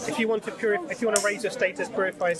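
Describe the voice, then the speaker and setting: A man's voice: high-pitched, steady tone, very fast. A man informally explaining a religious argument in an insistent, repetitive way. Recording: outdoor street recording, busy crowd. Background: crowd and outdoor city noise.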